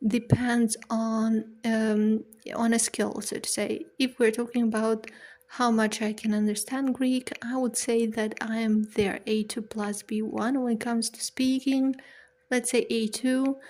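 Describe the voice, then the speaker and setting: A woman's voice: fairly high pitch, darkish tone. A woman from Serbia, a language-learning channel host chatting casually to camera about her progress and often restarting words. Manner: chatty, self-deprecating, stops and restarts words.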